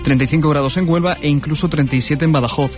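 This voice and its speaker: A man reading a radio weather forecast, crisp and even as he runs through place names. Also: on the high side, fast talker. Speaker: weather presenter. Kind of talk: scripted weather report. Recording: faint music bed under the voice.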